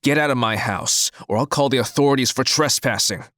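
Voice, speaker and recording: A man's voice: medium pitch, fairly quick, a bit bright, dry recording, clean studio sound, close to an everyday voice. An actor playing an uneasy, indignant millionaire businessman in an audio drama, wary in his delivery.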